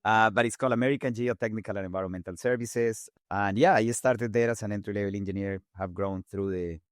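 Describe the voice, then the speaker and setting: Fast-talking Colombian-accented man. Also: on the low side, dry recording, clean studio sound. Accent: Colombian Spanish. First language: Spanish. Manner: fast-talking, animated, repeats words while restarting. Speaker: guest engineer. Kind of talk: casual interview talk.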